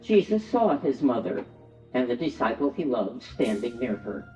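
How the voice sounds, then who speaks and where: A man's voice: mid-range voice, very animated, normal speed, mellow. A man reading scripted Bible narration as the narrator of a Passion drama. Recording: echoey, slightly dull, music behind.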